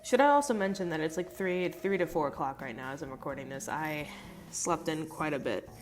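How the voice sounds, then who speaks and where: A young woman with a low voice that sounds stuffed up and nasal, as if she has a cold. She rambles through a casual voice-over, full of fillers and cursing. Faint music plays under her phone-recorded voice. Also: brisk. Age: young adult. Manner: rambling, chatty, lots of fillers and swearing. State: congested, nasal. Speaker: art vlogger.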